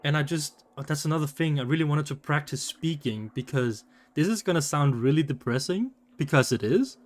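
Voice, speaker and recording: A man from Denmark with a Danish accent, chatting casually on a live stream, rambling with many fillers and restarts. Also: medium pitch.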